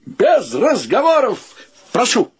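An actor playing an exuberant, joking gentleman with ornate courtesy and theatrical exclamations in a radio play. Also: very high voice, huge pitch swings, slow, husky, heavily acted. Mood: exuberant, commanding. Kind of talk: Russian radio play acting.

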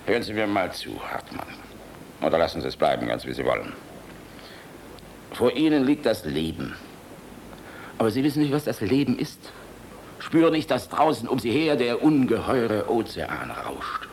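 An aging army general in a radio drama, gruff yet fatherly and weary of the world. His lowish voice swings widely as he blusters and philosophizes, turning from bark to tenderness. Faint noise sits behind him. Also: sing-song, moderate pace, pauses often, darkish tone. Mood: earnest, fatherly. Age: older. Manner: blustery, impassioned, philosophizing, shifting between barking and tenderness. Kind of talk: German radio play acting.

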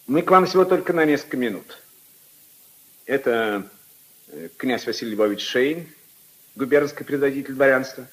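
An actor playing a haughty, indignant aristocratic prosecutor in a pompous, emphatic 1950s radio drama style. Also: male voice, medium pitch, expressive, moderate pace, long pauses, lightly acted, rough recording. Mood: stiff, formal. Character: haughty, indignant aristocratic assistant prosecutor, brother-in-law of a prince. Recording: 1950s archive radio recording.

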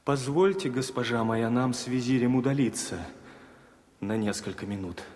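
An actor playing a young, impatient, excitable caliph in an audio fairy-tale drama, exclaiming theatrically. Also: male voice, medium pitch, moderate pace, slight background noise, lightly acted. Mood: conspiratorial.

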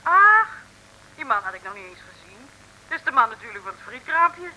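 Bright, girlish Dutch detective's assistant. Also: high voice, big pitch swings, brisk, heavily acted, low-quality recording. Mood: giggly, delighted. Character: giggly, eager young female detective's assistant. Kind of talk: 1960s comic radio serial acting. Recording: old radio recording with faint noise.

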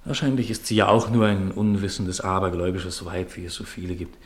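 An actor playing a devoted, earnest husband with simmering resentment in a 1950s German radio play, speaking formally and fervently with careful articulation. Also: male voice, deep voice, steady tone, fairly quick, lightly acted. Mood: apologetic, restrained.

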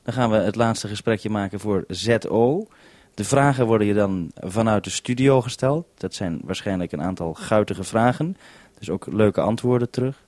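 A man's voice: fairly low pitch, moderate pace, a little mellow, no room sound. A man from the Netherlands hosting a two-way radio conversation, handing back with "over" as he goes. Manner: says "over" to hand back. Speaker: radio host.

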